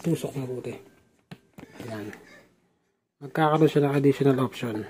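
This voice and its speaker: A man's voice: medium pitch, normal speed, a little mellow, a little hiss or hum. A young man vlogging casually about his motorcycle helmet camera setup, with chatty repeated fillers, recorded on a helmet-mounted camera mic outdoors. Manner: chatty, addresses viewers as "lods", repeats fillers. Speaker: motovlogger. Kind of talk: casual how-to vlog. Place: outdoors at home, then riding a motorcycle. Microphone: helmet-mounted action camera mic.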